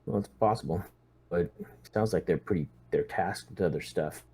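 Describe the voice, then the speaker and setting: A man's voice: sits low, moderate pace, warm tone, breathy edge. A man chatting casually as a player in a tabletop game.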